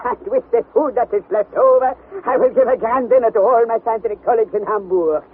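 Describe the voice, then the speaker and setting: High-voiced, excitable old professor. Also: male voice, fairly quick. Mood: jubilant. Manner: theatrical, declamatory, exclaiming. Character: excitable, obsessive older male German professor, stubbornly defiant. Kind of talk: 1960s radio drama acting. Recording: tinny, noisy vintage radio recording.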